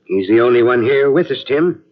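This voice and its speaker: An actor playing a stubborn, defiant older uncle confined to a cot, in 1940s radio acting style. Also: male voice, mid-range voice, lively intonation, brisk, natural voice. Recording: dull lo-fi old radio tape.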